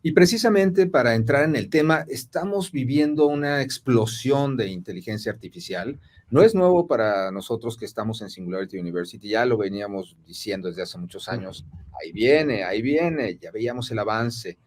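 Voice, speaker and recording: A man hosting a podcast conversation, asking questions with many filler pauses. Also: mid-range voice, animated. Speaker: podcast host. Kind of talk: casual conversational interview.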